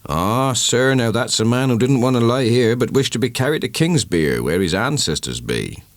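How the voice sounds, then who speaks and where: A man's voice: medium pitch, animated, moderate pace, no room sound, voice pushed up, natural voice. A man voicing a talkative, deferential country sexton in a dramatised audiobook, folksy and confiding. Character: talkative rustic male churchyard sexton.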